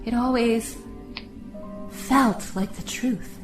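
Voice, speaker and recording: A woman playing a gentle, probing companion heard in the dark, speaking in an earnest, measured way in an audio drama. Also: fairly high pitch. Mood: gentle, reassuring. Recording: echoey, with music underneath.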